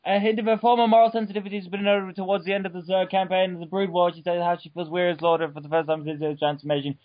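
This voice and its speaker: A man giving a mock-dramatic reading of an article, over the top, bursting into shouts and stumbling over words. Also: sits high, level tone, racing, no echo, lo-fi sound. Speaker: reader.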